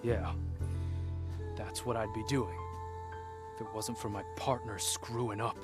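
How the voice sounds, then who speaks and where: Man playing a rueful game scavenger over loud music. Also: on the low side, fairly even intonation, a little slow, close to an everyday voice. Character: rueful male scavenger turned bar singer, dryly poetic. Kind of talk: video game voice acting. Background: loud guitar music.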